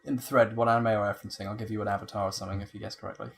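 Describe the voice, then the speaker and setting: A man's voice: on the low side, flat delivery, very fast, vocal fry. A man giving casual, jokey gameplay commentary with theatrical asides as a co-commentator. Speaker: let's play co-commentator.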